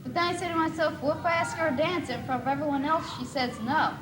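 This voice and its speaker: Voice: high voice, steady tone, quick pace, crowd noise, close to an everyday voice. A young teenage student playing a nervous, lovesick schoolboy in a school stage monologue. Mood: earnest, shy. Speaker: student actor in a school one-act play. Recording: noisy 1980s school video recording.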